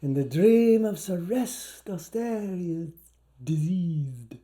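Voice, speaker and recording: A man with an Irish accent giving an animated, declamatory stage reading of a novel, playful with the wordplay and shifting between hushed and loud delivery. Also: sits high, slow. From Ireland or Canada. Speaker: actor performing a literary reading. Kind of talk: theatrical live reading of a novel.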